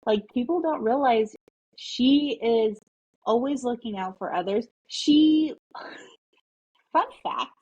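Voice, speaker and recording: A woman's voice: on the high side, animated, slow pace, long pauses, darkish tone, slightly rough, dull audio. A woman guest on a casual interview, an enthusiastic fan who sometimes drops to a whisper. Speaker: podcast guest, mother.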